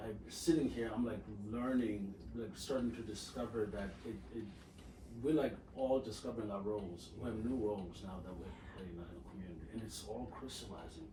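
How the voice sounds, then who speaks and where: A man with a low voice speaks hesitantly and with feeling, pausing to search for his words. He is recorded from a distance in an echoey room with noise behind him. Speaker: circle participant. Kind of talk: sharing in a circle.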